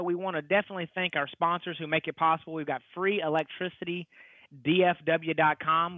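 A middle-aged man hosts a radio talk show in an East Texas accent. His voice sits a little high and comes through narrow and tinny, like a phone line. He talks in a folksy, halting way, doubling back on his words. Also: no echo. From East Texas, United States.

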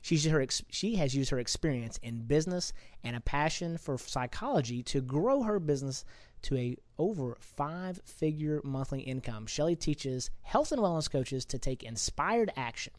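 A man's voice: a little high, lively intonation, moderate pace, dry recording. A man hosting a podcast interview, talking casually and rambling, often repeating words as he thinks. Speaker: podcast host.

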